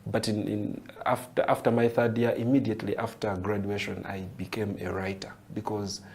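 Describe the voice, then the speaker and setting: Animated Ugandan man telling his story. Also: lowish voice, moderate pace, gravelly. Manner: animated storyteller, repeats words and restarts phrases. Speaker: poet and writer, interview guest. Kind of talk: storytelling interview answers.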